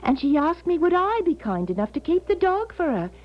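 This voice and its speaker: A woman's voice: very high voice, big pitch swings, brisk. An actress playing a kindly working-class London charwoman with a London accent, folksy and rambling, in a 1970s radio drama. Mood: chatty. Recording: dull 1970s radio broadcast.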